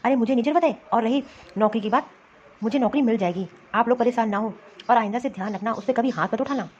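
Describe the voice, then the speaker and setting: A woman's voice: fairly high pitch, fast talker, darkish tone, muffled recording, a little hiss or hum, lightly acted. A woman voicing a protective adult son and husband in a cartoon family drama, reproachful and insistent. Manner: remonstrating, insistent.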